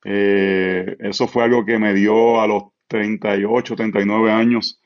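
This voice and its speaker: A man's voice: on the low side, measured pace, lo-fi sound. A man in his forties with a Puerto Rican accent, a pastor chatting relaxedly as a podcast guest with plenty of fillers. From Puerto Rico. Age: 40s. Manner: relaxed, filler-heavy, self-deprecating. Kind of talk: casual podcast conversation.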